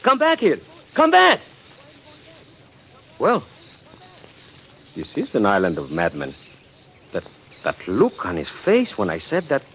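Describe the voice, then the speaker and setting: Animated, scornful Tsarist official. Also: male voice, medium pitch, slow, pauses often, heavily acted. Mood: alarmed. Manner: talkative, exclamatory, condescending. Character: chatty, scornful male Tsarist government official out hunting. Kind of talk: 1940s radio drama acting. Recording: dull, noisy old 1940s radio recording.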